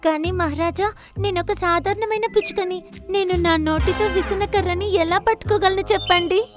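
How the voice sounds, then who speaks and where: Tiny, very high-pitched sparrow. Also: female voice, fairly even intonation, moderate pace. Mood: plaintive. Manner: plaintive, timid. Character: small, meek, plaintive sparrow. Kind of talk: children's cartoon story dubbing. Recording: children's music behind the voice.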